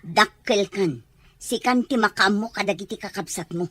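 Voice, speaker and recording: A woman with an Ilocano accent playing a cold, defiant mother in a radio drama, speaking in a brusque, husky voice. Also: medium pitch, moderate pace, fairly bright tone, no echo, clean studio sound. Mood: irritated, defiant. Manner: brusque, dismissive. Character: cold, defiant mother who abandoned her children.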